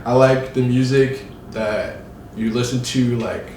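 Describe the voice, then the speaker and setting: A young man in his twenties from Hawaii, a musician talking casually as a guest, relaxed and rambling with lots of fillers. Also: medium pitch, unhurried, distant mic, a little hiss or hum. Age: 20s. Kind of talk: casual interview chat. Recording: very echoey room, from afar.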